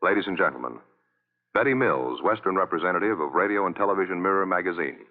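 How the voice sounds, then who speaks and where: A man's voice: low voice, animated, quick pace. A man announcing formally in the style of 1950s radio. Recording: narrow-band old radio, loud music.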